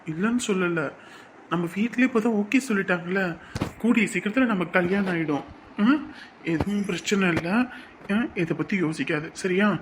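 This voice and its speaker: A performer playing an earnest young man quietly reassuring his fiancée in a family drama. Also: mid-range voice, a little hiss or hum, close to an everyday voice, poor audio. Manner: hushed, insistent, reassuring.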